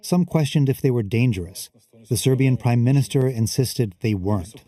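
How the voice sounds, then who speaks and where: A male reporter narrating a documentary in an even, explanatory way. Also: mid-range voice, normal speed, a crowd in the background. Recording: narration over music. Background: music.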